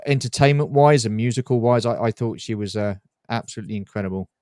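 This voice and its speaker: A middle-aged British man chatting as a guest, plain-spoken and halting, often repeating his words. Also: medium pitch, a little mellow, no room sound, studio quality. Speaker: guest toy collector. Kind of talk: casual chat. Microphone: remote live stream.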